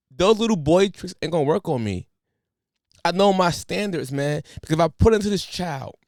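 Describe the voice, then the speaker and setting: A young man from the United States hosting a podcast, ranting in an animated, preachy way with casual slang. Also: sits high, no room sound, studio quality. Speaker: podcast host.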